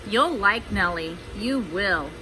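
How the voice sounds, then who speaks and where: A woman's voice: mid-range voice, expressive, slow. Lady doing a kindly old fisherman from a kids book, folksy talk, noise in the background. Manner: folksy, colloquial. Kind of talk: children's storybook read-aloud.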